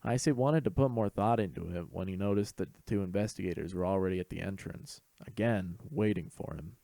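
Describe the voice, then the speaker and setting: A man's voice: deep voice, fairly quick, vocal fry, warm tone, dry recording. A man reading scripted fan fiction as the narrator of an audio story.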